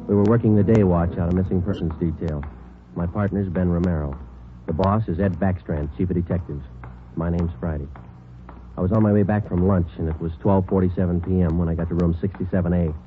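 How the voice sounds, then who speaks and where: An actor playing a laconic, businesslike police detective sergeant in a 1940s radio drama, deadpan and clipped. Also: male voice, low voice, a little fast, soft background music, lightly acted. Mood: flat, factual. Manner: deadpan, clipped, matter-of-fact. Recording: tinny, hissy old radio recording.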